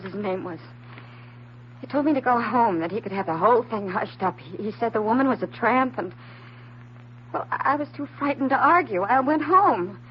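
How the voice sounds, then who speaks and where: A woman playing a frightened, naive and anxious woman in an old-time radio drama, speaking haltingly and earnestly. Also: fairly high pitch, lightly acted. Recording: dull, slightly noisy old radio recording.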